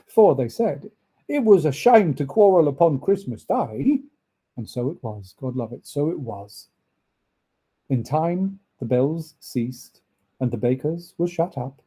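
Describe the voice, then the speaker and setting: A man reading a classic story aloud as an expressive narrator. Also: fairly high pitch, husky. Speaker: audiobook reader, narrator.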